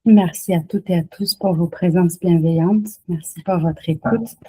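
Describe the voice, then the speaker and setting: A woman saying a closing prayer in a calm, measured, solemn way. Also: fairly low pitch, husky.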